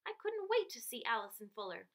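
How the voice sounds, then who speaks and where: A woman reading a picture book aloud for children as an expressive, explanatory storyteller. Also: a little high, fairly quick. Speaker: read-aloud host and storybook reader.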